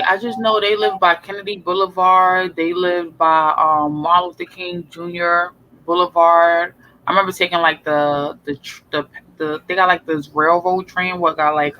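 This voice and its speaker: A man's voice: high-pitched, pushed and bright, rough recording. A man in a casual chat, recalling things hesitantly with many fillers.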